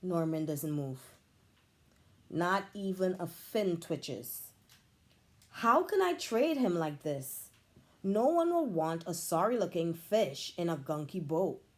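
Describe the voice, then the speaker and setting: A woman reads a children's storybook aloud, taking her time over every phrase. Her voice sits a little low but leaps and dips dramatically as she brings the story to life, like a teacher at story time. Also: takes it slowly. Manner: expressive, animated storytelling.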